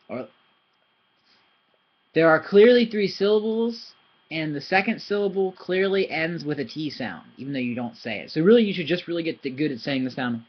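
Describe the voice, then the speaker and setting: Rambling man teaching, lo-fi sound. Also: fairly high pitch. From foreigner in Thailand. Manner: rambling, self-correcting, animated when demonstrating sounds. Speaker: language teacher. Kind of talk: casual video lesson.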